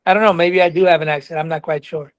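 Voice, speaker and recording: A man's voice: fairly high pitch, fairly even intonation, fast talker, dry recording, studio quality. A man in his forties from Colombia, raised in Texas, with a slight Texas accent, a college soccer coach telling his story earnestly as a podcast guest. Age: 40s. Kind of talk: podcast interview.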